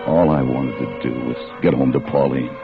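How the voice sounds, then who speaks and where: A man's voice: very deep voice, fairly even intonation, normal speed, vocal fry. An actor playing a desperate, hot-tempered working-class man with slangy tough talk in a vintage radio thriller. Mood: haunted, confessional. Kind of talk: 1940s radio thriller acting. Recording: muffled old radio recording, dramatic music.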